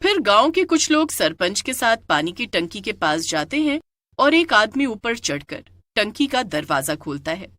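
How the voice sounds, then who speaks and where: A woman's voice: mid-range voice, very animated, normal speed, a bit bright. A woman narrating a scripted moral tale as a dramatic storyteller.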